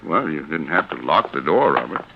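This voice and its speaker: An actor playing a slick, condescending defense lawyer with guilt to hide, in 1940s radio drama style. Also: male voice, rumbling low, sing-song, moderate pace. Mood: smooth, reassuring. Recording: muffled, faintly noisy vintage radio recording.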